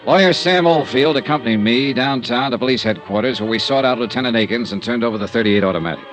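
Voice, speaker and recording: A man's voice: medium pitch, fast, over music, lightly acted. A man acting a cool, terse insurance investigator, narrating his case in 1950s radio detective style. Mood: matter-of-fact.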